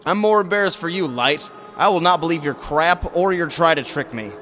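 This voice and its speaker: A man's voice: on the high side, normal speed, a bit bright, close to an everyday voice. A man playing a grumpy, reluctant monster-hunting hero in a comic audio drama, sarcastic and exasperated. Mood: exasperated, defensive. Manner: exasperated, stilted heroic phrasing with slangy gripes. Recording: music behind the voice.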